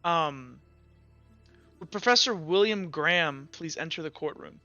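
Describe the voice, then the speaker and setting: A man plays a grave courtroom judge in a tabletop game, measured and procedural. The voice is bright and very clear, picking its formal words with halting care. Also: fairly high pitch, animated, no echo, dull audio, faint music behind, heavily acted. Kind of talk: tabletop roleplay character speech.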